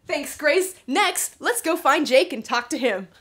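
A young woman hosting a light scripted promotional interview, prompting her colleagues in an animated way. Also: high voice, measured pace. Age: young adult. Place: rooms of a house and a barn. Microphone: boom mic held near.

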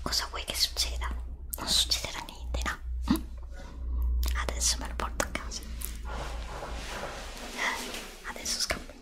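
A woman playing a curious, stubborn student in a whispered ASMR roleplay, hushed, insistent and pleading. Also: normal speed, close to an everyday voice. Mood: sneaky.

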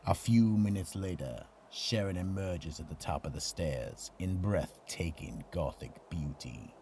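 An actor playing a grave, ominous horror storyteller, husky and measured, narrating a mystery radio drama. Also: male voice, deep voice, expressive, mellow, a little hiss or hum, exaggerated voice.

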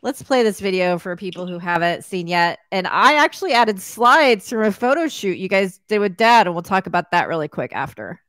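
A woman's voice: medium pitch, sing-song, normal speed, few pauses, a bit bright. A woman host in casual conversation, speaking into a close studio microphone. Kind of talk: casual chat. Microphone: studio mic up close, headphones.

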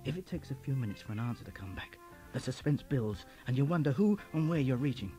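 A man's voice: medium pitch, expressive, fast talker, mellow, soft background music. A man reading a scripted narration for an instructional tape.

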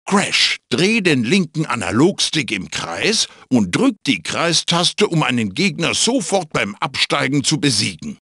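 Gravelly, animated ancient mask spirit. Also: male voice, mid-range voice, a little slow, hardly any pauses, no echo, studio quality, full character voice. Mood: encouraging, instructive. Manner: theatrical, instructive, dryly humorous. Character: wise, fatherly ancient magical wooden mask, wry mentor. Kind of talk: video game character voice acting, tutorial guidance.

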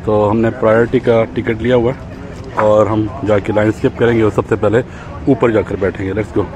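A man's voice: lowish voice, little pitch movement, brisk, a crowd in the background. A man narrating a casual travel vlog as he boards a tour boat, pointing out the sights to his viewers. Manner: chatty, pointing things out to viewers. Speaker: travel vlogger. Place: outdoors at a harbor and on a tour boat deck.

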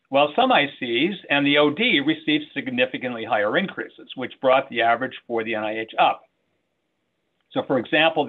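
An older man speaking as an institute director, giving a formal, measured prepared presentation over a video call. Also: medium pitch. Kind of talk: prepared presentation to a council meeting. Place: small office, indoors, on a video call. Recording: muffled, band-limited video call audio.

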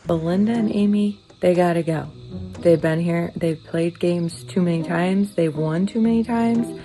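A woman's voice: lowish voice, unhurried, warm tone, faint music behind. A woman giving a blunt, scheming confessional aside. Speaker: contestant.

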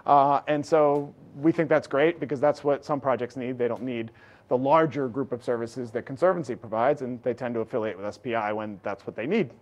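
A middle-aged man giving a conference talk from slides in a large lecture hall, projecting loudly and energetically. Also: medium pitch, brisk. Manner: energetic, loud, projecting without amplification. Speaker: conference speaker. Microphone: room recording mic, no lapel.

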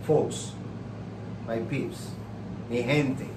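A man's voice: mid-range voice, steady tone, extremely slow. A middle-aged man with a Puerto Rican accent, speaking conversationally and emphatically, often repeating phrases to make his point. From Puerto Rico. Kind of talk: conversational, impassioned opinion. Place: indoor room hung with paintings. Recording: echoey room, distant mic, noisy background.

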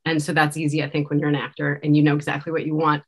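Low-pitched woman in an interview. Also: completely flat delivery, very fast, husky. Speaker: podcast director and actor interviewed. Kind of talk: conversational video interview. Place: indoor room, video call. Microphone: video call microphone.

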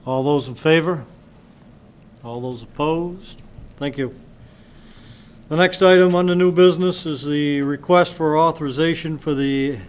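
A man chairing a town council meeting, reading agenda items briskly into a desk microphone in a council chamber. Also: on the high side, smooth.

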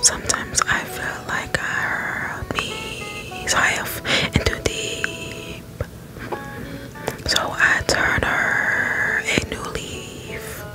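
A woman whispering the slow, drawn-out verses of a folksy male frog boatman from a video game, ASMR style. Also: over music, rough recording. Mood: wistful, easygoing. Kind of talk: ASMR read-out of game dialogue.

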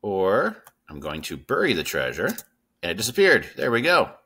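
A man in his thirties with a low-pitched, bright and forward voice walks learners through a coding tutorial. He explains each step informally, checking in with short tag questions as he goes. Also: huge pitch swings, moderate pace, breathy edge. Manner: informal, instructive, checks in with "right?" and "okay?". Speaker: coding teacher. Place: indoors at a computer.

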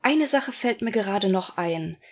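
A woman's voice: medium pitch, animated, measured pace. A woman playing a polite middle-aged former actress in a detective radio play parody. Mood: reflective.

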